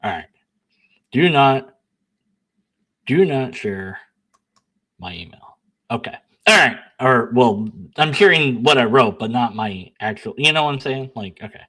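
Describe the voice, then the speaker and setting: Animated, bright-voiced male streamer. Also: mid-range voice, measured pace, pauses often. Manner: animated, sarcastic, laughs at himself, bickers with himself; blunt, argumentative when reading his replies. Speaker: channel host. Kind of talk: casual solo commentary, reading emails aloud.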